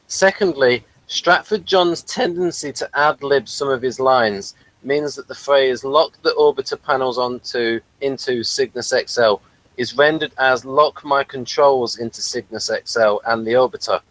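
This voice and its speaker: A man with a medium-pitched voice talks casually about a TV show. He sounds hesitant, often feeling for the right word and rewording his point. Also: unhurried, a bit bright, poor audio. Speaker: podcast co-host. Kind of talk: casual TV episode discussion.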